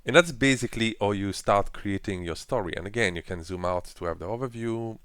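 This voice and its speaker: A man presenting a casual software tutorial with phrasing and frequent fillers, explaining each step while clicking through an editor. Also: lowish voice, fairly quick, clean tone, no echo. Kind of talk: screen-recorded software walkthrough.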